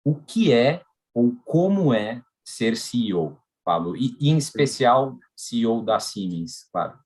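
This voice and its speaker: A man's voice: mid-range voice, sing-song, measured pace, a little mellow, husky. A man with a Brazilian Portuguese accent hosting a live podcast, welcoming and chatty. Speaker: podcast host.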